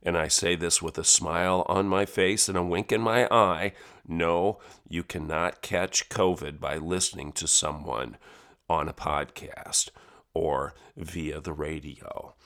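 A man hosting his own talk show, hoarse and growly from a head and chest cold, delivering an emphatic opinion monologue. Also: low voice, steady tone, a little slow, a bit bright. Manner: emphatic, piling up lists of words. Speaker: podcast and radio host.

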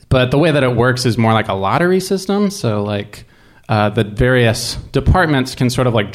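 A young man telling a personal story casually, in a rambling, halting but animated way. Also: fairly low pitch.

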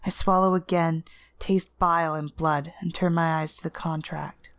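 A woman reading literary first-person fiction as narrator, with an intense, deliberate and expressive dramatic delivery. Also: low-pitched, no echo, studio quality. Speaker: story narrator.

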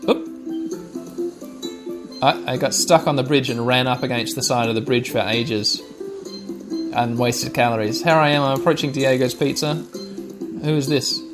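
A man's voice: medium pitch, fairly even intonation, measured pace, long pauses. Wry man narrating a game over music. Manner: chatty, wry running commentary. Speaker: podcast host playing a video game. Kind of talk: narrating gameplay to listeners.

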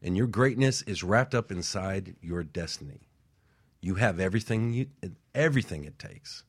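A man's voice: deep voice, expressive, normal speed, husky. A male co-host delivering an emphatic motivational speech with a preaching cadence.

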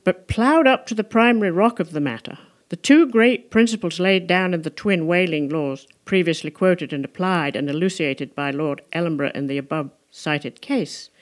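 A woman narrating a literary audiobook in a measured, rhetorical manner. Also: fairly low pitch. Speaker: audiobook reader.

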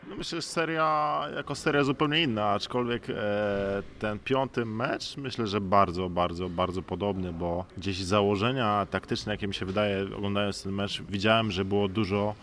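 Low-voiced male athlete guest, faint noise. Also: normal speed, hardly any pauses, a bit bright. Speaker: guest, professional basketball player. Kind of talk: casual sports analysis in an interview. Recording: faint background noise and ambience.

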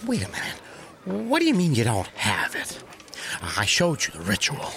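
Wildly animated scheming demon. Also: male voice, medium pitch, normal speed, gravelly, slight background noise, heavily acted. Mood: mocking, incredulous. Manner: taunting, theatrical, cackling. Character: Worm, gleeful mocking scheming demon sidekick. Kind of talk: animated audio drama acting.

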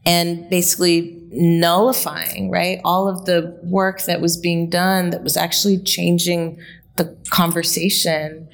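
A woman's voice: fairly low pitch, flat delivery, measured pace, creaky. Reflective woman in her late thirties. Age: late 30s. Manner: reflective, storytelling, with fillers. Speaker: guest. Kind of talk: conversational interview.

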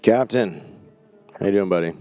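A man hosting a podcast, relaxed and laughing as he chats with callers. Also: low-pitched, normal speed, faint music behind. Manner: easygoing, laughing banter. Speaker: podcast host.

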